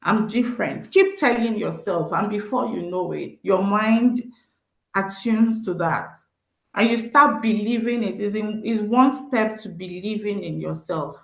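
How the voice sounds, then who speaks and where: A woman's voice: medium pitch, unhurried, vocal fry, a bit bright, reverberant, low-quality recording. A woman with a Nigerian accent giving an earnest, encouraging motivational talk to teenagers as a social worker at an event. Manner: earnest, encouraging, repeats phrases for emphasis. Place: event venue.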